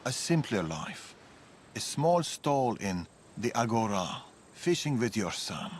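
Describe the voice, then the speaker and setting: Gentle, expressive game companion. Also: male voice, fairly low pitch, takes it slowly, slight background noise. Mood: gentle, reflective. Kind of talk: dramatic video game acting.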